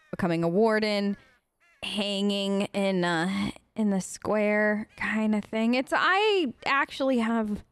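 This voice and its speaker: A woman's voice: mid-range voice, clean tone, dry recording. A woman improvising casual in-character replies as a let's play host, chatty, informal and a bit rambling. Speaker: let's play host role-playing her game character.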